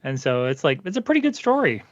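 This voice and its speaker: A man's voice: mid-range voice, big pitch swings, quick pace, dry recording. A man co-hosting a comics podcast, chatting casually with dry, sarcastic humor. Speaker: podcast co-host. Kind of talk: casual chat. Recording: dry, dull lo-fi sound.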